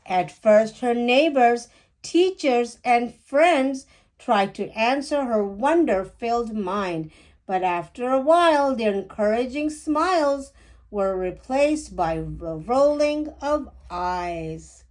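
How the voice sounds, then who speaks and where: A woman reading a rhyming children's picture book aloud with deliberate, animated phrasing. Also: fairly high pitch.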